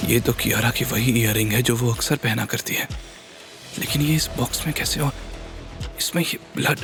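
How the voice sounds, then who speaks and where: An anxious young male hero of an audio drama, voiced by the male narrator. He sounds troubled and determined, over soft music. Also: medium pitch, moderate pace, vocal fry, lightly acted. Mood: alarmed.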